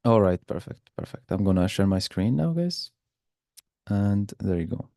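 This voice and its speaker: A man's voice: fairly low pitch, expressive, moderate pace, gravelly, dark tone, no room sound, clean studio sound. A man giving an informal presentation as guest speaker in an online class. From Algeria. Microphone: video call.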